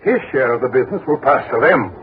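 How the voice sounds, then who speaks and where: An actor playing a fussy, agitated insurance company manager in 1960s radio drama, insistent and impatient, repeating himself. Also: male voice, mid-range voice, lively intonation, quick pace, lightly acted. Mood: brisk. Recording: muffled, noisy old radio broadcast.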